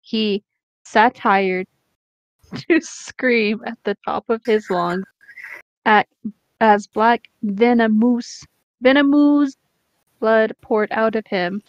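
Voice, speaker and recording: A woman bantering casually and reading aloud haltingly as a co-host among friends. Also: fairly high pitch. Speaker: co-host reading bad fan fiction aloud. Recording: dull, roomy home recording.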